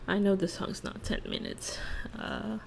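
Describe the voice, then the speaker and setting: A woman speaking softly in an ASMR monologue, hushed and halting, lingering on phrases and at times slipping into a whisper. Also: medium pitch, huge pitch swings, gravelly, a little hiss or hum. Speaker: ASMR creator and host. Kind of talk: soft-spoken ASMR role-play monologue with song analysis.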